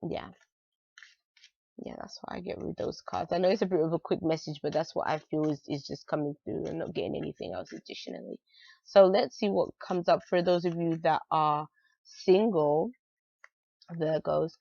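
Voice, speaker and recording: Woman w a cold doing a tarot reading, raspy, lots of um and like, dry muffled sound. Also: low-pitched, normal speed, warm tone, no room sound. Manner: chatty, many fillers like "um" and "like". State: has a cold, raspy voice, clearing throat. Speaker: tarot reader. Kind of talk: casual monthly tarot reading.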